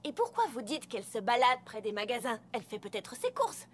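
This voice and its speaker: A woman's voice: fairly high pitch, big pitch swings, a little fast, slightly rough. A woman playing a level-headed, bookish young female pony who reasons in an articulate, skeptical way in a cartoon dub. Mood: skeptical, exasperated. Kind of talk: animated cartoon dialogue dub.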